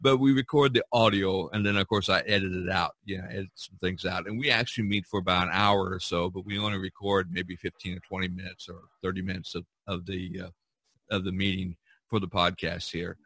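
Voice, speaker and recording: Male podcast host, forceful bright tone, dry close sound, rambling casual chat. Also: low voice, steady tone, a little fast, no echo, rough recording. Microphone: video call microphone.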